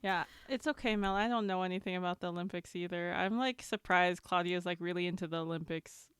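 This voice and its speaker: A woman's voice: fairly low pitch, quick pace, a bit bright, clean tone, no room sound. A woman co-hosting a podcast in casual chat. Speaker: podcast co-host.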